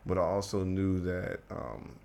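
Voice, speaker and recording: A man's voice: sits low, measured pace, gravelly, a little mellow. A young man from the Washington DC area, talking casually as a guest and rambling with many restarts. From United States, Washington DC area. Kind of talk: casual conversation.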